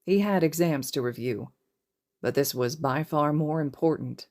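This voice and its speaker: A woman's voice: low voice, measured pace, darkish tone. A woman reading a scripted story aloud as a fiction narrator. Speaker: podfic narrator.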